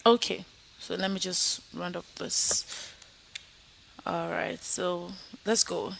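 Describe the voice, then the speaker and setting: A woman's voice: lowish voice, steady tone, slow, creaky, fairly bright tone, poor audio. A woman teaching an online IELTS speaking lesson, coaching students and then running a mock exam. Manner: coaching, encouraging, repeats "okay?". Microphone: video call.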